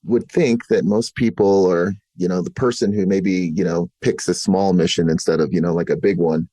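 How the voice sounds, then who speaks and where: A man hosting a podcast, chatting in a relaxed way, full of "you know" and fillers. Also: sits low, normal speed, no room sound. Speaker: podcast host. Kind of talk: casual interview chat.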